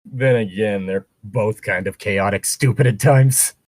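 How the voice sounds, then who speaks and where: A man's voice: fairly low pitch, measured pace, no room sound. A man chatting casually between bits of reading fan fiction aloud, rambling and breaking off to comment or swear.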